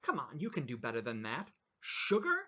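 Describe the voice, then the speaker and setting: A man voicing a furious young man in a small-town cafe, ranting sarcastically and shouting his indignation. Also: mid-range voice, huge pitch swings, normal speed, muffled recording, exaggerated voice. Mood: indignant ranting.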